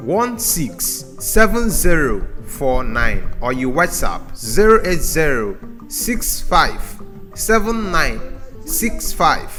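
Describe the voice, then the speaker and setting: Male radio advert announcer, animated pitch, faint music underneath. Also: takes it slowly. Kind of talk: scripted radio book advert.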